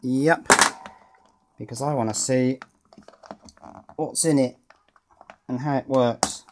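A man talks through an electronics repair at his bench. His delivery is relaxed and wry, full of small pauses and "uh"s as he thinks aloud. He sounds like a hobbyist chatting to a camera. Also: mid-range voice, very noisy. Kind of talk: casual tinkering commentary. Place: indoors at a workbench.

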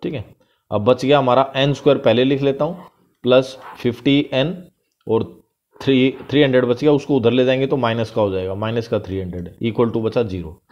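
A man's voice: medium pitch, steady tone, a little mellow, dull audio. A male math teacher with an Indian accent, talking through a worked problem step by step over a screen recording. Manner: explains step by step, reads English question lines slowly.

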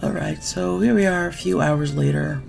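Woman, 30s, sick beauty vlogger losing her voice; low, heavily gravelly delivery, candid and chatty; home webcam. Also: normal speed, a little mellow, faint music behind. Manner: chatty, candid, mildly sarcastic. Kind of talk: casual product unboxing chat. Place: small room at home, indoors.

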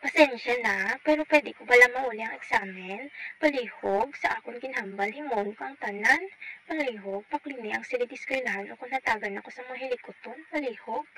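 Actress as troubled high-voiced schoolgirl. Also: fairly quick, rough recording. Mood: pleading. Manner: pleading, apologetic. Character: troubled, pleading young female student. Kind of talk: amateur radio drama acting with narration. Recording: loud music behind the voice.

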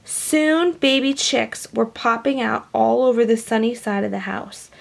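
A woman reading a storybook aloud to young children in an expressive, animated way, with hushed asides. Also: fairly high pitch, a little slow, creaky. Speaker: storybook reader.

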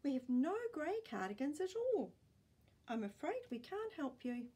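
Woman playing an animated, courteous menswear salesman. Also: sits high, measured pace, voice pushed up. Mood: apologetic. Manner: polite, apologetic sales patter.

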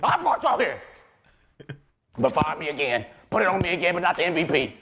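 A young man acts out an exasperated male head coach in a comedy sketch, scolding with heavy sarcasm. The voice is scratchy and strained, fairly high for a man, and swings widely in pitch through incredulous questions. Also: very animated, a little fast. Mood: angry. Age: young adult. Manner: indignant, incredulous rhetorical questions. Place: small bright indoor room.

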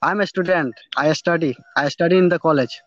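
A man's voice: on the high side, fairly bright tone, no room sound. Dull-sounding young Indian man, learner English. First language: not English. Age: young adult. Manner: halting learner English, simple phrases. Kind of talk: casual practice conversation. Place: outdoors in a garden. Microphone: phone at arm's length, video call. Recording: dull lo-fi video call audio.

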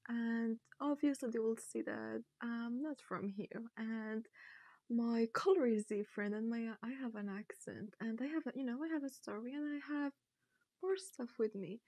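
A woman's voice: fairly high pitch, normal speed, no room sound. Young woman from Morocco, chatty and laughing. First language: Arabic. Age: young adult. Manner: laughs often, animated, quotes people playfully. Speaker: interviewee, newcomer. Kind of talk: casual interview talk. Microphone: lapel mic.

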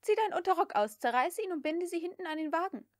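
A woman's voice: very high voice, expressive, fast talker, dry recording, clean studio sound, voice pushed up. A woman voicing an exhausted, harsh young mistress in an audiobook, giving curt commands and scolding. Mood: decisive. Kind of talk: audiobook character acting.

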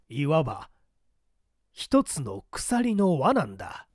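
A man's voice: high-pitched, a little mellow, no room sound, studio quality, heavily acted. A man voicing a rigid, zealous party loyalist in an audiobook drama, with an emphatic, declamatory delivery. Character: rigid, zealous male party loyalist preaching iron discipline. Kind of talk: dramatic audiobook character dialogue.

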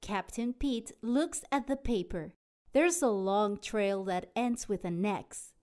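A woman narrating a children's storybook aloud in an expressive, dramatic way. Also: mid-range voice, slow. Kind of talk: animated children's read-aloud.

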